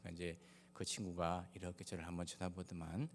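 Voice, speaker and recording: A male pastor preaches in a reverberant church hall, his voice on the low side. He has a Gyeongsang Korean accent and speaks in measured, halting phrases, pausing often. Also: level tone. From Daegu, South Korea. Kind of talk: sermon with personal anecdotes. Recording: echoey hall with long reverb.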